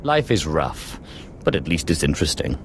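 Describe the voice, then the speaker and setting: An actor playing a cold, contemptuous dark lord in a video game, haughty, curt and sneering. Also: male voice, rumbling low, big pitch swings, moderate pace, background noise, full character voice. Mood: cold contempt. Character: cold, contemptuous Sith lord player character. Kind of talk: video game cutscene acting.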